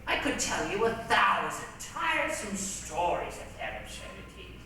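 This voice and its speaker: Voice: medium pitch, huge pitch swings, measured pace, sharp tone, full character voice. Casting: stage performer as ancient dragon, condescending and world-weary, hugely varied delivery, distant echoey hall recording. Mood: imperious, weary. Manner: condescending, dismissive, dramatically emphatic. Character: ancient, imperious, world-weary male dragon, mocking and boastful. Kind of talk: theatrical readers' theatre performance. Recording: echoey hall from far off, faint noise.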